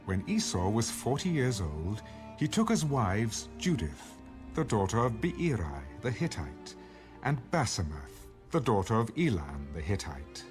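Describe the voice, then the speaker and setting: A man's voice: on the low side, sing-song, measured pace. A man narrating scripture in a dramatic audiobook style. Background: music.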